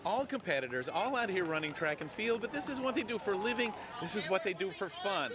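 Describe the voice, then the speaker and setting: A man interviewing athletes outdoors at a sports event, upbeat and animated. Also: high voice, fast, very noisy. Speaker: host and field reporter. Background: crowd.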